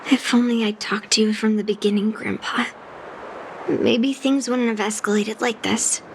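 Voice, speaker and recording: A woman's voice: medium pitch, fairly even intonation, normal speed, slight background noise, lightly acted, poor audio. A woman playing a stubborn, earnest young granddaughter and swordsmith in a video game. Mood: regretful, worried.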